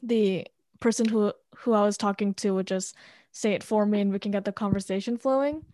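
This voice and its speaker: A woman who stutters with pauses and blocks, talking in casual conversation and laughing softly between phrases. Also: medium pitch, steady tone, no echo.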